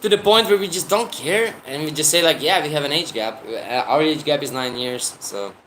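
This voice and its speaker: A man's voice: a little high, brisk, a bit bright, a little hiss or hum, rough recording. A man in his thirties who talks in a rambling, casual way, with lots of restarts, while chatting with viewers on a travel vlog livestream. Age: 30s. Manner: rambling, with frequent restarts and fillers. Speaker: travel vlogger.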